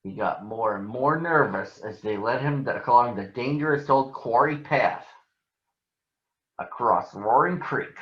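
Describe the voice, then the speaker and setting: A man reading a children's story aloud in an expressive, deliberate storytelling manner. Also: mid-range voice. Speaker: storybook reader. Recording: roomy echo, dull lo-fi sound.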